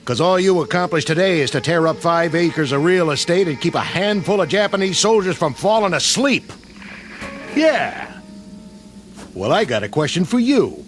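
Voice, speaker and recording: Casting: gruff frontline sergeant, weary and wisecracking, heavy sarcasm, radio war drama with music underneath. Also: male voice, high-pitched. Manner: sarcastic, slangy, gruff soldier talk.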